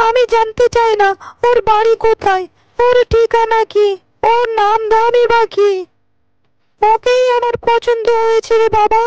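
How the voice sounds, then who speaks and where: A woman voicing village women and girls in a cartoon moral tale, speaking earnestly and deliberately. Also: extremely high pitch, darkish tone, dull audio. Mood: admiring. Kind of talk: cartoon dialogue acting. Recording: dry voice over background music.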